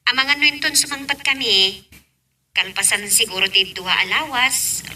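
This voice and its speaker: A woman's voice: fairly high pitch, a little slow, pushed and bright, recorded from a distance, slight background noise. A woman playing a chatty wife working abroad in an amateur audio drama, speaking casually.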